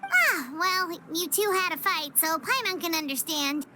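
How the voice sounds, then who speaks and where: Game companion: childlike little girl, earnest, extremely high pitch, lively swoops. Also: a little slow, very noisy, full character voice. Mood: curious, earnest. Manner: speaks of herself in third person. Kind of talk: anime game voice acting. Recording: loud music behind the voice. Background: loud game music.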